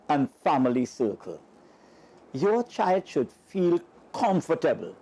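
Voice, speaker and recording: A woman reading parenting tips as a scripted voice-over, measured and advisory. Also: low-pitched, dark tone, low-quality recording.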